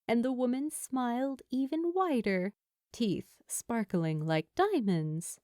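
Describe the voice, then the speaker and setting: Female story narrator, unhurried and animated, mid-pitched, dry recording. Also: dry recording. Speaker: fan fiction narrator.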